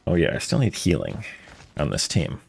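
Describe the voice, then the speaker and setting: A man's voice: extremely low pitch, wildly swooping pitch, normal speed, vocal fry, darkish tone, a little hiss or hum. A man giving casual live game commentary, wry and muttering to himself as he plays. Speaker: live streamer.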